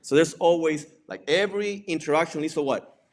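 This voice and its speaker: A man's voice: on the high side, a little fast, slight background noise. A man with a Puerto Rican accent, a native Spanish speaker, giving an informal lecture and often checking in with rhetorical questions. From Puerto Rico. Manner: conversational, asks rhetorical questions and tags points with "right?". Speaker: presenter, digital forensics examiner and instructor.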